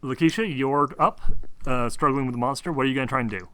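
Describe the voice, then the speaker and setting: A man's voice: medium pitch, quick pace, bright, forceful tone, a little hiss or hum. A man acting as game master, casually narrating a tabletop role-playing game.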